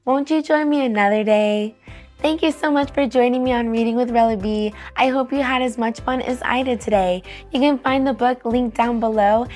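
A young adult woman hosting a children's read-aloud, narrating in an animated, clearly enunciated way. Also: a little high. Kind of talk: expressive storybook narration for children. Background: soft music.

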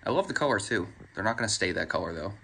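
A man's voice: lowish voice, animated, racing, vocal fry, pushed and bright, slight background noise. Wry young man vlogging on a trail. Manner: wry, self-mocking, laughs things off. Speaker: thru-hiker vlogger. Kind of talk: casual trail vlog to camera. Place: outdoors on a mountain trail. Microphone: phone held at arm's length.

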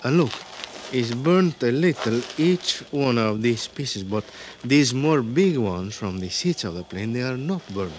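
An actor playing an earnest, helpful Mexican investigator in an old radio drama, in hesitant broken English with a Mexican Spanish accent. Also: male voice, mid-range voice, animated, a little slow, lightly acted. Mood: earnest, explaining. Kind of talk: 1950s radio drama acting. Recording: noisy old radio broadcast.

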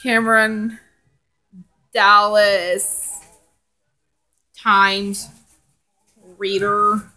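A woman's voice: medium pitch, flat delivery, very slow, long pauses, bright, forceful tone, soft background music, low-quality recording. A young woman vlogger chatting casually and reading fan fiction in a rambling, giggly way. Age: young adult. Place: living room indoors.

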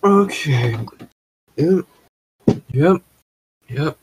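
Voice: mid-range voice, animated, extremely slow, slight background noise. An anxious, exasperated podcast co-host pleading and swearing in casual chat with friends. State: anxious, stressed.

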